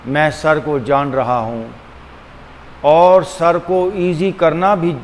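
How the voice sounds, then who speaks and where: A man with a slightly high voice leads a guided meditation at a very slow pace. He gives instructions deliberately, repeating phrases and leaving pauses between them. The recording carries a long, hall-like reverb. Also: fairly even intonation. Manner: deliberate, repetitive, with frequent pauses; switches between Hindi-Urdu and English. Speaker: yoga and meditation teacher. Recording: long hall reverb, faint background hum.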